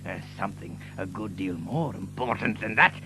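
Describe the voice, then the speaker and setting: A man's voice: lowish voice, pushed and bright, full character voice, rough recording. An actor playing a cantankerous, gloating old man in an audio drama, crotchety, muttering and repeating himself. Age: older.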